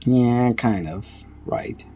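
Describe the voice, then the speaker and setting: Guy doing a laid back reaction, soft dark tone, reads out loud kinda stumbly. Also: medium pitch, a little hiss or hum. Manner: halting, stumbling over words while reading. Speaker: reaction video creator. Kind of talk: casual reaction commentary, reading captions aloud.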